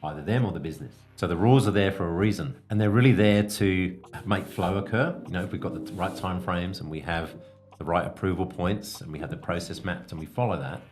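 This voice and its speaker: Male podcast coach, low-pitched, instructive and measured delivery, explanatory style, faint music underneath. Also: a little mellow. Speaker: podcast host, business coach.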